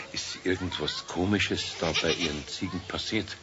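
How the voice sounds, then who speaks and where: A man's voice: low voice, slow pace. An actor playing a good-natured, flustered older craftsman with a Bavarian accent, hesitant and muttering, in a children's radio play. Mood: awkward, evasive. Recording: dull 1970s radio recording, faint noise.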